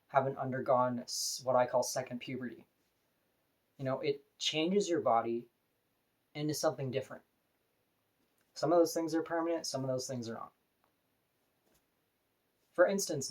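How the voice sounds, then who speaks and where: A woman with a deep voice, talking to camera in an earnest, opinionated, plain-spoken personal vlog. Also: darkish tone. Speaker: vlogger.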